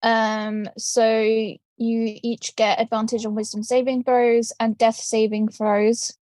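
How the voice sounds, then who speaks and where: A woman's voice: fairly high pitch, flat delivery, slow pace, a little mellow, dry recording. A woman playing a tabletop game, talking casually and hesitantly as she thinks her turn through aloud.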